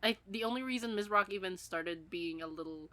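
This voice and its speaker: A woman co-hosting a podcast in a casual fan chat, animated and giggly, swearing freely. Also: mid-range voice, fairly quick, no room sound. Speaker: podcast co-host.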